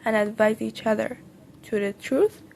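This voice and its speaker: Young woman, Persian native speaker, upbeat teaching vlog, mellow tone, gravelly edge. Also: fairly even intonation, normal speed, slight background noise, poor audio. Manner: upbeat and chatty, with sudden bright lifts in pitch. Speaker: vlogger teaching Quran study tips. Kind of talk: casual instructional vlog.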